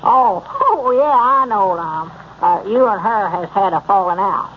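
A high-voiced old country storekeeper, rambling and evasive in a rural Southern accent. He hems and haws in folksy 1930s radio comedy style. The recording is muffled, with clear noise. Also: very animated, measured pace. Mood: sheepish, evasive.

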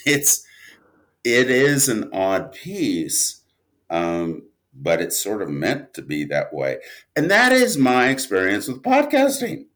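A man's voice: fairly low pitch, wildly swooping pitch, takes it slowly, a bit bright. A man speaking as an interview guest in casual, reflective conversation, rambling along in a self-deprecating way. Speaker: interview guest, audio drama writer and producer.

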